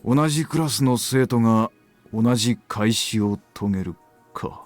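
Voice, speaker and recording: A man's voice: mid-range voice, fairly quick, no echo, soft background music, lightly acted. An actor playing a brooding, analytical middle-aged male detective in a Japanese visual novel.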